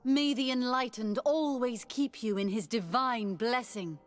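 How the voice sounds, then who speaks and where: A woman's voice: on the high side, a little slow, soft background music, close to an everyday voice. An actress playing a solemn, devout female religious emissary in a video game, speaking formally. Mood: grave, respectful. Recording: reverberant hall.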